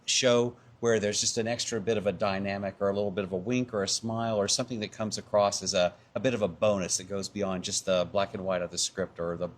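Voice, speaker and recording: Lowish guy voice, theatre guy getting interviewed, chatting thoughtfully. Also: fairly even intonation, fast talker, few pauses. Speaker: community theatre actor and narrator, interview guest. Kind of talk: thoughtful casual interview answers.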